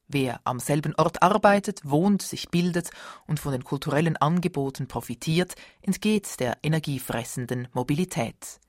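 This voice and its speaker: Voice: mid-range voice, no room sound. Dry, formal radio narrator. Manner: steady, formal reading. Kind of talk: scripted narration.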